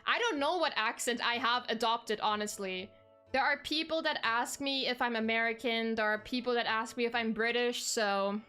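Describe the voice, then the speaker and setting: A woman's voice: on the high side, normal speed, bright, forceful tone, clear, resonant tone, faint music behind. A young woman from Germany, chatting casually with her stream viewers, rambling with frequent ums and self-corrections.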